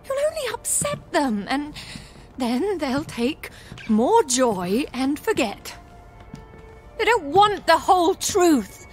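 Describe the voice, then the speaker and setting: A woman's voice: sits high, sing-song, takes it slowly, faint music behind, exaggerated voice. An actress playing a desperate, imperious older woman in a video game, genteel and unhinged. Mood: desperate pleading.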